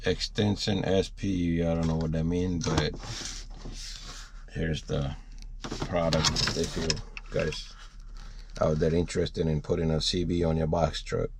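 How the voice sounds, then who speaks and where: A man giving a casual, unscripted how-to for a vlog, rambling and thinking out loud as he works. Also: rumbling low, little pitch movement, unhurried, pauses often, noisy background. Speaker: vlogger showing a DIY truck installation.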